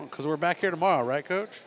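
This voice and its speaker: A middle-aged man doing play-by-play and then a joking postgame interview in a high school gym. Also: expressive, normal speed, gravelly. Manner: chatty, joking, frequent restarts. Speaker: play-by-play broadcaster. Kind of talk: sports commentary and postgame interview.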